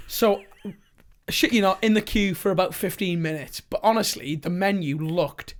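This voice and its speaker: Lively young Welsh man telling a story. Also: high voice, moderate pace. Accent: North Welsh. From North Wales. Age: young adult. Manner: animated and sweary, acts out quoted voices with gestures. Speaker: podcast co-host. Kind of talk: casual storytelling chat. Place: living room with brick fireplace. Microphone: desk mic on boom arm.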